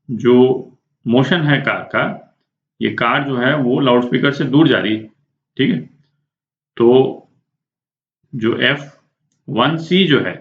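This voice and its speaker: A man with an Indian accent tutoring informally, explaining step by step with frequent check-in tags and rhetorical questions. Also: mid-range voice. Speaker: physics tutor. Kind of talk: spoken lesson working through a problem step by step. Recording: echoey room, dull muffled sound.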